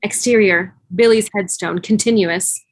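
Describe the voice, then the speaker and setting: A woman's voice: medium pitch, measured pace, rough recording. A woman reading scripted stage directions as narrator of a fan table read over a video call. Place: remote video call, music behind.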